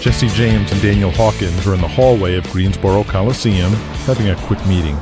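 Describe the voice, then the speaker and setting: A man's voice: deep voice, a little fast, music in the background, lightly acted. A man narrating a gritty, crude wrestling audio drama in a scripted storytelling style.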